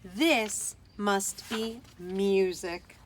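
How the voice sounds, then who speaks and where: A woman voicing an excitable young female mouse in a children's story, with pleading, emphatic exclamations. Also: medium pitch, drawn-out words, slight background noise. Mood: awed.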